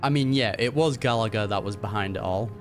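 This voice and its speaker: A man's voice: lowish voice, fairly quick. A man hosting a gaming reaction, commenting casually in a laid-back, slangy way. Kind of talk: casual game playthrough commentary. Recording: game music behind the voice.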